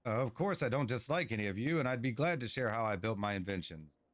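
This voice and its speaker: A man's voice: medium pitch, animated, fast, lightly acted. A man voicing an eager, awkward, proud young dwarf inventor in a fantasy game, with hesitant, folksy delivery. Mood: bashful. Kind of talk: storybook-like game dialogue read aloud.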